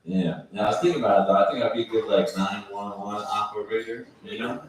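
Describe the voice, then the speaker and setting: A man doing a stand-up set at an open mic night with a calm, laid-back, deadpan delivery. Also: on the low side, fast talker. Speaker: stand-up comedian. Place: open mic at a bar venue. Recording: echoey room from far off, loud noise.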